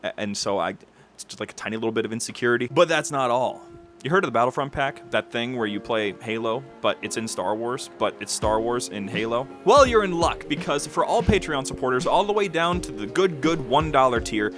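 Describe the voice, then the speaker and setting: Male channel host, mid-pitched, effusive, slangy casual update, faint background music. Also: lively intonation, brisk. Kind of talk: casual enthusiastic video update.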